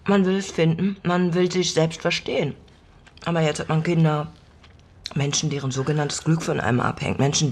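An actress playing a lonely, frank middle-aged married mother in a radio drama, speaking in a halting, reflective way. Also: deep voice, darkish tone.

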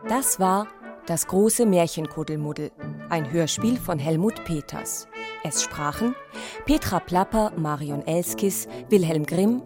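A woman delivers scripted radio credits over prominent music. Her pitch is a little lower than usual, and she reads as an announcer addressing listeners.

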